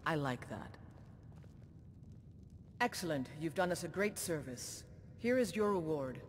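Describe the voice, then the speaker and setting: Casting: a stern old war-horse ruler, formal, slightly high voice, long reverb. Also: male voice, lively intonation. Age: older. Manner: measured, formal and commanding. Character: older male jarl, a former soldier, stern and dutiful. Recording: game audio with long reverb and faint rumble.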